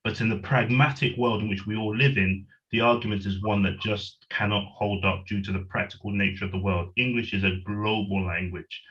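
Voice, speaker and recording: Deep-voiced man on a dull call line. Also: steady tone, brisk, hardly any pauses, fairly bright tone. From Nigeria. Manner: rambling, self-correcting, pragmatic. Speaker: panel guest, writer. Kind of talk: discussion, webinar.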